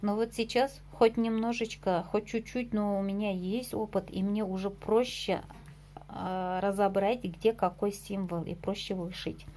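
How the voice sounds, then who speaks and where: A woman's voice: medium pitch, steady tone, measured pace, mellow, a little hiss or hum. A woman vlogging casually about her embroidery projects at home, chatty and a bit hesitant, with fillers and small laughs. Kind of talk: casual show-and-tell vlog.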